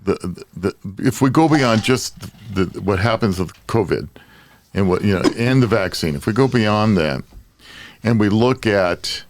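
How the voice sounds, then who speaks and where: Older man, low voice, lively swings. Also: a little slow. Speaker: podcast co-host. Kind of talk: casual chat.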